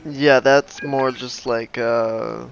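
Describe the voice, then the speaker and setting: A man's voice: medium pitch, steady tone, very slow, gravelly, a little hiss or hum. A man giving casual gameplay commentary, reacting to dialogue in an offhand, dryly judging way. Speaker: gamer reacting to game dialogue. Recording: slightly dull mic, faint game music.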